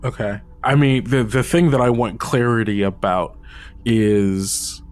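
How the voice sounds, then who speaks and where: A man's voice: mid-range voice, unhurried, a little mellow. A man playing a tabletop game, hesitant, full of restarts and filler words. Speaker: player. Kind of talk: tabletop roleplay chat.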